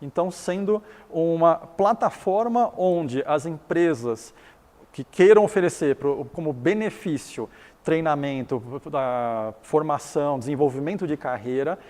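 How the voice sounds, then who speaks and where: A man's voice: fairly high pitch, unhurried, a little mellow, in a large hall. A middle-aged man from Taiwan whose first language is Chinese, explaining his business in an interview, often restarting and repeating words.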